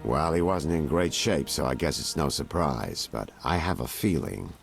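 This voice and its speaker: A man's voice: a bass voice, lively intonation, moderate pace, vocal fry, soft background music, full character voice. An actor playing a shrewd, mercenary male informant in a video game, haggling and insinuating in a wry way. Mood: confiding.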